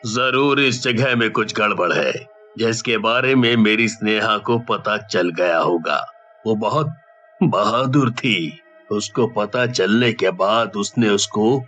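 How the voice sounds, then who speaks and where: A man playing a middle-aged police officer in a horror story, earnest and deliberate. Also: lowish voice, fairly bright tone, soft background music. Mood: brooding. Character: middle-aged male police officer, stern grieving father.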